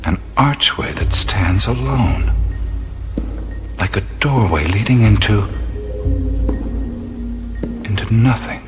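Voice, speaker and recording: Radio play lead: an awestruck male adventurer, unhurried and hesitant, with widely swinging pitch. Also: expressive. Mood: entranced wonder. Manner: slow, halting, musing, often dropping to breathy whisper. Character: awestruck male adventurer exploring mysterious ruins, wondering and hesitant. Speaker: lead actor in an audio drama. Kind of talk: radio drama acting, first-person narration of discoveries. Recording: music bed behind the voice. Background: music.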